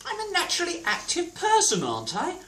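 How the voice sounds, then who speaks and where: A woman reading plays aloud as an amateur actor, slow and dramatic. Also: extremely high pitch, huge pitch swings, sharp tone, exaggerated voice. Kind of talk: play reading, theatrical. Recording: roomy theatre from far off.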